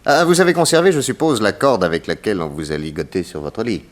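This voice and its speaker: Actor as a shrewd, animated low-voiced detective. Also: male voice, fast talker, close to an everyday voice. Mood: probing, polite. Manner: courteous, inquisitive, theatrical. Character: shrewd, probing, confident American male detective. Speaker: actor in a detective radio serial. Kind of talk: 1960s French radio drama acting.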